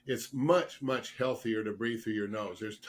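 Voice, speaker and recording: A man with a slightly rough voice from a lingering cold, explaining earnestly and emphatically as a guest expert in an interview. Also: on the low side, a little slow, slight background noise. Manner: earnest, emphatic, repeats words for stress. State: getting over a cold, throat clearing and coughing. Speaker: guest expert, dentist specializing in dental sleep medicine.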